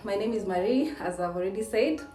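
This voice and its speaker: A woman's voice: mid-range voice, expressive, a little fast, dark tone. Young woman, echoey and distant. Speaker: content creator posing questions. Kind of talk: casual chat. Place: indoors in a house. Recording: roomy echo, distant mic, faint music. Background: faint music.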